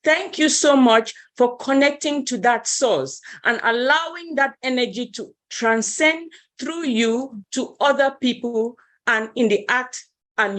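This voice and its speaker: A woman's voice: a little high, a bit bright. A woman giving an earnest, effusive inspirational tribute as a participant in an online meeting.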